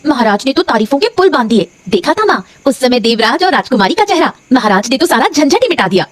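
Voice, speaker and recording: अभिनेत्री की आवाज़ में जोशीली, दबंग मिठाई वाली औरत. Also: female voice, high voice, big pitch swings, quick pace, no room sound. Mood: gloating. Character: brash, gloating sweet-shop women and puzzled village wife. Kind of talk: Hindi animated moral story voice acting.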